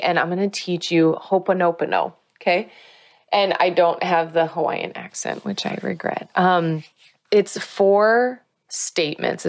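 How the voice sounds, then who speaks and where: A woman with a low, steady voice guides listeners through a spiritual practice. She talks in an earnest, coaching way and slows down to press key words home. The sound is close and dry. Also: gravelly, no echo. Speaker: spiritual teacher and author.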